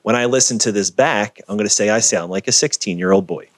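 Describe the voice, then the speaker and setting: A man being interviewed over a video call, talking in an energetic, emphatic, storytelling way. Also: fairly low pitch, fast, dry recording. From Philadelphia area. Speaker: guest, video producer.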